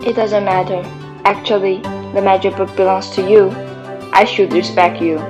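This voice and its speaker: A woman playing a gracious, forgiving queen in an amateur radio drama, with a husky voice. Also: medium pitch, little pitch movement, moderate pace, over music, natural voice.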